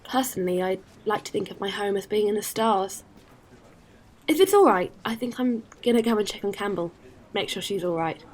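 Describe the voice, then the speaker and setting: A woman's voice: medium pitch, expressive, moderate pace, close to an everyday voice. A woman playing a friendly, caring alien spacefarer in a science-fiction audio drama, talking in a casual, considerate way. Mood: warm, casual.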